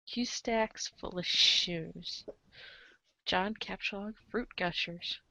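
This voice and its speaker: A woman's voice: medium pitch, takes it slowly, gravelly, pushed and bright, no echo, poor audio. A woman reading a comic aloud as the show's narrator, theatrical and playful, slipping into casual asides. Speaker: narrator of a comic read-along show.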